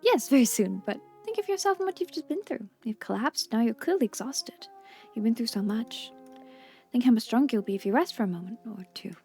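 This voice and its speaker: Kindly tea shop gentleman played by a woman, quaint and unhurried, cosy video game character, faint music. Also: a little high, lively intonation. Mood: gently persuasive. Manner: formal, quaint phrasing, gently polite.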